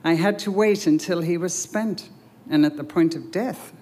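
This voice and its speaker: A woman voicing a tender, patient male healer who speaks quietly and gently. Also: fairly low pitch, normal speed, lightly acted. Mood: gentle.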